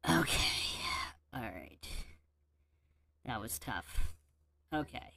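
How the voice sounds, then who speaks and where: Slow-talking livestream host. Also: male voice, mid-range voice, sing-song, gravelly, sharp tone, a little hiss or hum. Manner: unhurried, restarting words while fixing audio settings. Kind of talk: casual livestream Q&A chat.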